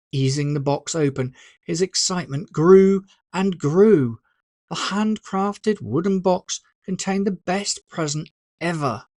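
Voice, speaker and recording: An author narrating a story in an expressive, deliberate, scripted audiobook style. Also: medium pitch, no echo, clean studio sound.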